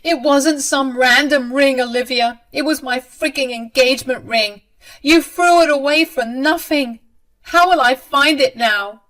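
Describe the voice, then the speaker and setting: A woman's voice: high-pitched, measured pace, fairly bright tone, pitched above the performer's own voice. A woman voicing a distraught young bride-to-be in a dramatized story, hurt, indignant and emotional. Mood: upset, indignant. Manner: pleading, emotional.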